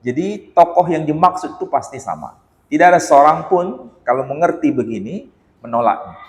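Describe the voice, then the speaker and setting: An Indonesian man giving a religious lecture in a mosque, animated and teasing, with rhetorical questions. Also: a little high. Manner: chants Quran verses in a slow melodic recitation. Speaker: Islamic lecturer. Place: mosque hall. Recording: echoey mosque hall.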